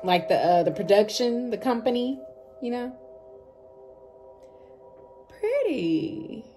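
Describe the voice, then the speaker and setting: A woman's voice: fairly high pitch, expressive, takes it slowly, mellow. Slangy woman over background music. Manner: slangy, informal, emphatic repetition. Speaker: reaction video host. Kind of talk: casual music reaction chat.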